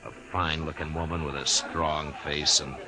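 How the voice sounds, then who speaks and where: An actor playing a steady, courteous American marshal in an old radio western, measured and understated. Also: male voice, a bass voice. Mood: sober narration. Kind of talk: old radio western acting and first-person narration. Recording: old radio broadcast with music behind.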